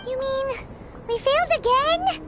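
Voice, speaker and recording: A woman voicing a tiny, childlike fairy companion in a video game, squeaky, cute and cheeky. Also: a little slow, heavy background noise. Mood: casual, deflated. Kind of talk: English video game voice acting.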